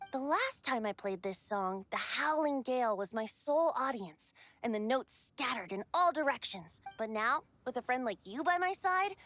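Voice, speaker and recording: A woman's voice: fairly high pitch, a bit bright. A woman playing a youthful male bard and wind god in a video game, with a light boyish voice and a lyrical, reassuring, theatrical way of talking. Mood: gentle, warm. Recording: game music behind the voice. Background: game music.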